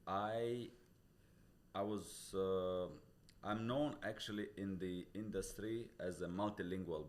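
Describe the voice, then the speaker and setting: Deep-voiced tajik guy talking english as a second language, earnest, restarts a lot, slight background hiss. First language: Pamir dialect. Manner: earnest, explains step by step with many restarts. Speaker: real estate broker, guest. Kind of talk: casual interview talk. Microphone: studio podcast mic.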